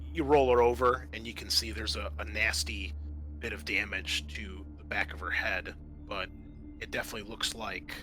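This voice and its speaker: A man narrating as game master in a tabletop roleplaying game, halting as he thinks aloud through his rulings. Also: fairly low pitch, sharp tone.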